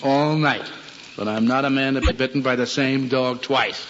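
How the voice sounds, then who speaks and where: A man plays a proud, gruff American cop and single father from a vintage radio play. He blusters and hesitates in a folksy way, at a medium pitch. The recording is dull, with faint noise. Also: steady tone, normal speed, close to an everyday voice. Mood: subdued, resigned. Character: gruff, proud, flustered widowed policeman father.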